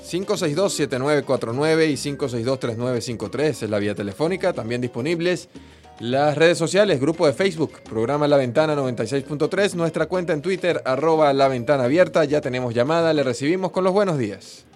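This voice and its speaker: A man from Venezuela hosting a radio show, briskly announcing how listeners can get in touch. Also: a little high, lively intonation, a little mellow, soft background music. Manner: brisk announcer reading out contact details. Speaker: radio host.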